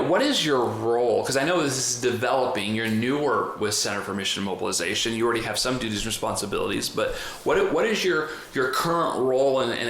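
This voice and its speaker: A man's voice: medium pitch, a bit bright. Chatty man hosting in an echoey room. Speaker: podcast host and trainer. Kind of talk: conversational podcast interviewing. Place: indoor room in the US. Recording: roomy echo, faint background noise.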